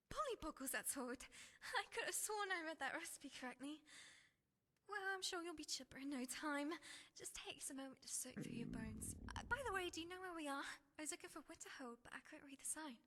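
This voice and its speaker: A high-pitched, cheeky little half-elf witch with a British accent, acted by a woman for a fantasy game. She is theatrical and scatterbrained, peddling potions in quaint slang, her bright voice ringing with long reverb. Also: heavily acted. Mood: puzzled, chirpy. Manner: cheeky, theatrical, colourful old-fashioned slang. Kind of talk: voiced game follower dialogue.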